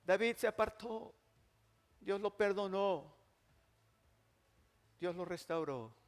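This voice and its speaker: An older male pastor with a high-pitched voice preaches a sermon, moving from loud, forceful declarations to quiet, slow, drawn-out phrases. His voice rings out with a long echo in a large church hall. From Mexico. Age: 60s.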